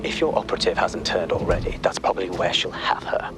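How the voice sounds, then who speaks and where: An actor playing a stern rogue intelligence agent in a video game, terse and guarded. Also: male voice, deep voice, fairly quick, noisy background, close to an everyday voice. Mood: businesslike.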